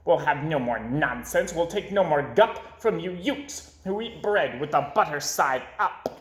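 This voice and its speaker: A man's voice: a little high, animated, measured pace. A man voicing a mean, snarling male rival creature who taunts, in an echoey room. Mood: taunting.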